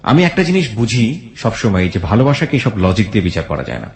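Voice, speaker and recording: Low-voiced Bangladeshi male radio host, roomy echo. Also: big pitch swings, a little fast. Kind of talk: reflective radio hosting, questioning guest and addressing listeners.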